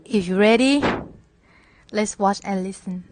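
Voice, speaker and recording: A woman's voice: medium pitch, unhurried. A woman narrating a scripted children's story as moderator.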